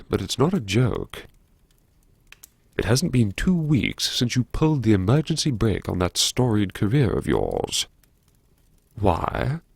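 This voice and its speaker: A man performing a first-person audiobook monologue as a brooding, wry character who anxiously lectures himself in a theatrical, musing way with dramatic pauses. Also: on the low side, vocal fry, darkish tone, breathy edge, no echo, full character voice. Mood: scolding, sardonic. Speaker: audiobook narrator.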